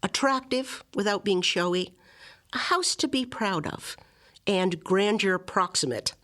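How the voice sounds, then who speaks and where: A woman reading a literary memoir aloud as scripted narration, with measured, articulate and expressive phrasing. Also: mid-range voice. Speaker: reader of a literary memoir excerpt.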